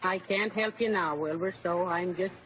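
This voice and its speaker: An actress playing a stubborn, long-suffering middle-aged wife in an old-time radio comedy, plain and resigned. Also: female voice, fairly low pitch, moderate pace, husky. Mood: resigned, hurt. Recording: muffled old radio recording, faint noise.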